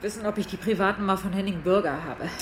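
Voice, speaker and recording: Indignant, blunt shop woman played by an actress, radio crime comedy, quick delivery, mid-range voice. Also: mid-range voice, background noise, natural voice. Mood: aggrieved. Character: naive, indignant, mouthy shop woman, battered and angry. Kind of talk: naturalistic German radio crime comedy acting.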